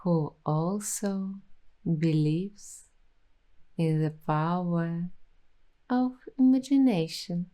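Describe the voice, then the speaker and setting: A woman hosting a soft-spoken ASMR roleplay, talking dreamily and intimately to the listener. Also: lowish voice. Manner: slow, dreamy, intimate, addressing the listener directly.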